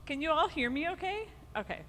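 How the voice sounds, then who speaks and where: Woman moderator with a loud, carrying voice that trails into a long hall reverb. She talks into a handheld mic in an art gallery and pads her sentences with frequent ums and uhs. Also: sits high, animated, brisk, slight background noise. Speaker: panel moderator. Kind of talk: introducing a panel discussion. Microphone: handheld microphone.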